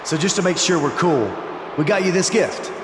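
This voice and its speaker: In a reverberant, noisy space, an eager, scheming pro wrestler from a video game. His voice sits fairly high and moves around with lively pitch, persuasive and keen to please. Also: moderate pace. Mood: eager, persuading. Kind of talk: video game cutscene dialogue. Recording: echoey hall with clear background noise.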